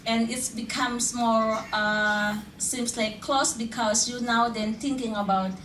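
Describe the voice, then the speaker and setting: A woman's voice: fairly high pitch, fairly even intonation, takes it slowly, fairly bright tone. Woman, from Indonesia, panel guest, hesitant, many fillers, distant mic, echoey hall. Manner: hesitant, many fillers and restarts, searching for words. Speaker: panel guest, community activist. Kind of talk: unscripted panel answer. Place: conference hall. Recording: roomy hall, distant mic, faint noise.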